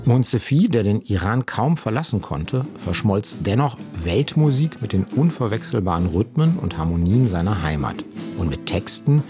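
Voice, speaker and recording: A man reading a scripted radio culture feature in a measured storytelling tone, close to the mic. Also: lowish voice, sing-song, music in the background. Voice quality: husky. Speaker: radio reporter.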